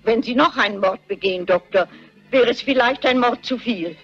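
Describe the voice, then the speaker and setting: A woman's voice: on the high side, normal speed, lightly acted. An actress playing a shrewd, composed elderly lady detective in a radio drama, speaking politely and precisely. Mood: calmly accusing. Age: older. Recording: dull lo-fi audio, music behind.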